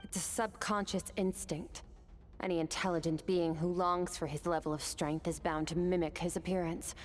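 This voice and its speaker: A woman voicing a composed, powerful swordswoman who speaks in a calm, measured way. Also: fairly low pitch, close to an everyday voice. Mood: explaining. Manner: calm, measured, matter-of-fact. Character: cool, powerful female swordswoman, stern and composed. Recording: game audio with soft music behind.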